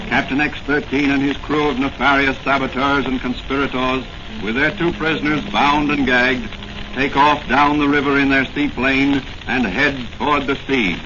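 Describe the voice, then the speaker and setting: A man's voice: mid-range voice, close to an everyday voice. A man playing the dramatic narrator of a 1940s radio adventure serial, reading scripted narration. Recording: muffled, very noisy old radio recording.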